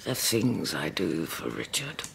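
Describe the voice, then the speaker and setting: An actress playing a proud, weary ageing queen in a historical audio drama, imperious and dryly sharp-tongued. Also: very deep voice, very animated, measured pace, creaky, slight background noise, exaggerated voice. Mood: weary, wry. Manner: imperious, dry, wry. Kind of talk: British-made historical radio drama acting.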